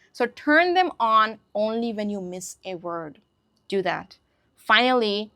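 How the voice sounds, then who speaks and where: A woman whose first language is Hindi talks through an English lesson in an easy, conversational way, often stopping to check that her listeners follow. Her voice sits at a middle pitch and is recorded close and dry, with no room sound. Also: a bit bright, no echo. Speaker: English teacher. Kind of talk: casual spoken lesson to camera.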